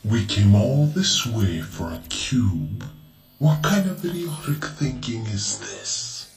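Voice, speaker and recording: A man's voice: medium pitch, very animated, slow, distant mic. Man acting sceptical companion, blunt, questioning, audio drama, echoing sound, music underneath.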